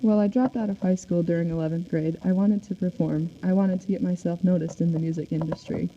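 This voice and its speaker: A woman's voice: fairly low pitch, steady tone, a little fast, lightly acted. A woman playing a male 1940s singer and film star in a radio interview, reading out the answers in a recited way. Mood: composed, recited. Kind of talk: amateur scripted radio show. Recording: dull recording with faint noise.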